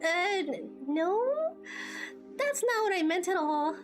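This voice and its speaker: A woman doing amateur game voice acting as a spirited, earnest teenage girl adventurer who talks in a casual, expressive way. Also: very high voice, slow pace, soft background music, full character voice. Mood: friendly, casual. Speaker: amateur voice actor playing through a game.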